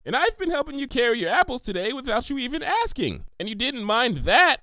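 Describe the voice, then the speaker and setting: A man's voice: extremely high pitch, sing-song, fairly quick, pushed and bright, no room sound, clean studio sound, pitched above the performer's own voice, full character voice. A man voicing a perky, earnest young female cartoon pony with a gushing, sing-song delivery for a story. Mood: earnest, caring.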